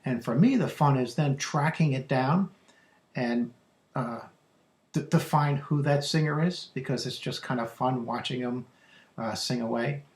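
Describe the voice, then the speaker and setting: A man's voice: medium pitch, unhurried. An American man reading a children's book aloud as its author and naturalist, adding informal asides about wildlife. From United States. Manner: imitates bird calls in a singsong.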